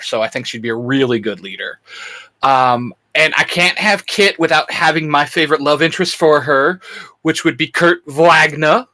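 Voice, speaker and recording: A man co-hosting a fan discussion in a casual, chatty, animated way, often interrupting himself. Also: mid-range voice. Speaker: co-host of a fan discussion show.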